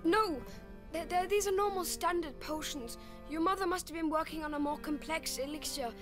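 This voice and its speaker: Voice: very high-pitched, moderate pace. A performer voicing a studious, earnest teenage boy alchemist in a dramatic video game, hesitant and thoughtful. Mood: frustrated. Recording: game soundtrack music behind.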